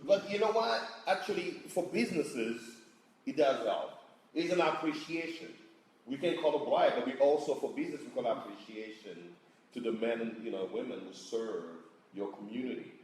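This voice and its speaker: A man from answers on a panel in a halting, animated way, his pitch swinging up and down as he hunts for words. The room is echoey around him. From Congo. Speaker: panelist, business operator. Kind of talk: panel discussion.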